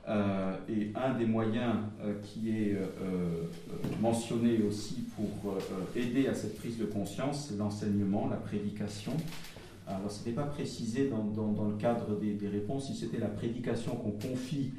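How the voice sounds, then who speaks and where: Mellow-voiced man giving a halting summary to a church gathering, recorded far off in a very echoey hall. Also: lowish voice, steady tone, a little hiss or hum. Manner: halting, with frequent pauses and restarts. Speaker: speaker summarizing group feedback at a church gathering. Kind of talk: public talk, reading out notes.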